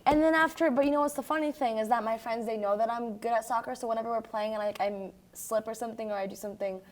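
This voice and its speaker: A school-age girl from Brazil, native in Portuguese, speaks in a fairly high voice. She chats casually, circling through her stories with youthful fillers and halts. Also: fairly even intonation, quick pace. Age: child or young teen. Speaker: young newcomer sharing experiences. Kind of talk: casual chat.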